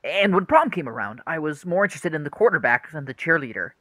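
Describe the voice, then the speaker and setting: A man's voice: fairly high pitch, sing-song, fast, sharp tone, no room sound, exaggerated voice. An actor playing an earnest, troubled young man in a radio play, hesitant and confessional. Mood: confessional, embarrassed.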